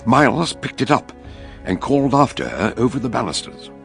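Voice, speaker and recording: An actor playing the narrator of a detective radio drama, deliberate and suspenseful. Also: male voice, medium pitch, sing-song, creaky, a bit bright, soft background music.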